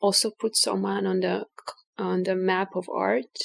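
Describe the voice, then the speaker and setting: A woman's voice: medium pitch, level tone, measured pace, gravelly. A woman from Hungary with a Hungarian accent, a guest artist thinking aloud in a casual interview with frequent restarts.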